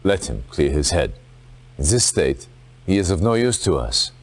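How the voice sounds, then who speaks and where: A man playing a stern, resolute military commander in a video game, speaking in a formal, dramatic, deliberate way. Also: low voice, big pitch swings. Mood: urgent.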